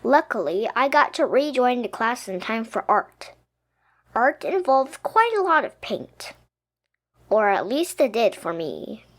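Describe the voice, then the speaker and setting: A woman reading a children's picture book aloud expressively, with dramatic, emphatic pauses. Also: a little high, big pitch swings, fairly bright tone. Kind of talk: expressive children's storybook narration. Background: music.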